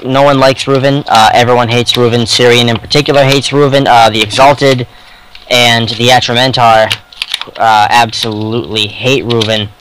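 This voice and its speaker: Blunt, halting man with medium pitch. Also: fairly bright tone, a little hiss or hum, low-quality recording. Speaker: podcast co-host. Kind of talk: casual fan discussion of sci-fi novels.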